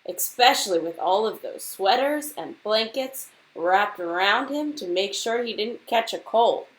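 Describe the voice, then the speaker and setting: A woman reading a children's storybook aloud like a teacher to a class, expressively and deliberately, putting questions straight to her young listeners. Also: medium pitch, lively intonation, rough recording.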